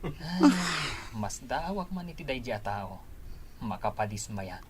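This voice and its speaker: A man's voice: mid-range voice, sing-song, measured pace, a little hiss or hum. A man playing a teasing young soldier who gives his buddy love advice, with an Ilocano accent, in a comedy radio drama. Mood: joking.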